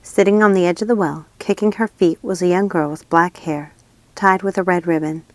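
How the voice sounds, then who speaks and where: A woman's voice: on the low side, fairly even intonation, moderate pace, no echo. A woman reading a fairy tale aloud as scripted storybook narration. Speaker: audiobook reader.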